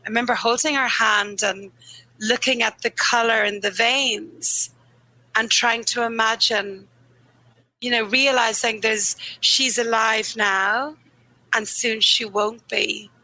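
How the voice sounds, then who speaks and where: A woman grief therapist talks candidly in an interview, her voice bright, a little croaky and on the higher side. She is earnest and laughs easily, drawing out the phrases she wants to land. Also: fairly even intonation, slow, poor audio. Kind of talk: reflective conversational interview.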